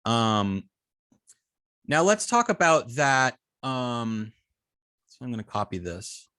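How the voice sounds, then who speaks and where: A man with a mid-pitched voice teaches web development through a live demonstration. He speaks informally and haltingly, thinking aloud between steps. The sound is dry, with no room echo. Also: sing-song, sharp tone, smooth. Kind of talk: live coding demonstration, explaining step by step.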